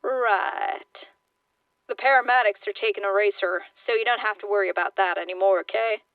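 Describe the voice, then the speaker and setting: Seasoned rescuer on the scene, a woman reading, casual and brisk, steadying with some exasperation, dry close sound. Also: medium pitch, no echo, recorded from a distance, phone-quality sound, lightly acted. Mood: reassuring, brisk. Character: brisk adult pro hero rescuer, exasperated but steadying.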